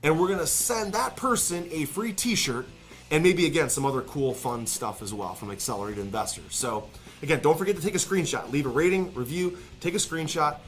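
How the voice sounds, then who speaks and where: A man's voice: fairly high pitch, brisk, soft background music. A man hosting a podcast in an upbeat interview style, enthusiastic and affirming as he talks. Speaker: podcast host.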